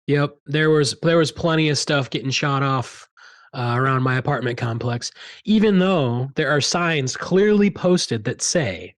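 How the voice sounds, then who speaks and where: A man hosting a podcast, chatting casually in a relaxed way with many pauses and fillers. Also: medium pitch. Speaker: podcast host. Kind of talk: casual chat.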